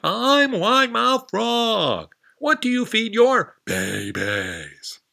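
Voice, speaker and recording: A man voicing a loud, boastful and rude female frog from a storybook, drawing out his words slowly. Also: sits high, big pitch swings, creaky, voice pushed up, heavily acted. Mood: haughty. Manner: slow, drawn-out, shouted words. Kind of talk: storybook character voice.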